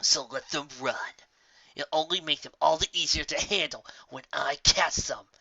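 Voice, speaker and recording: A hot-tempered storybook pegasus mare, as a narrator puts her on. She sounds righteous, exasperated and forceful, with a bright, tense edge. The recording is dry and lo-fi. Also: medium pitch, normal speed, creaky, slightly rough, no room sound, full character voice. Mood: grim, menacing. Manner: forceful, exasperated, growling threats.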